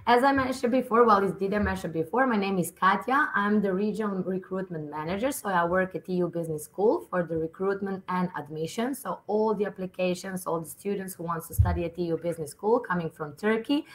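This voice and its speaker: A woman's voice: medium pitch, fairly quick, hardly any pauses, poor audio. A woman in her thirties from Serbia, a university recruitment manager giving a webinar presentation on a video call, friendly, with many fillers and restarts. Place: office room indoors.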